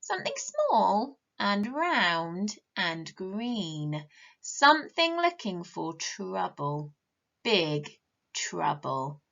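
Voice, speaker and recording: A woman teacher reading a picture book to children, animated and asking them questions, often dropping to a whisper. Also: mid-range voice, extremely slow, creaky, muffled recording.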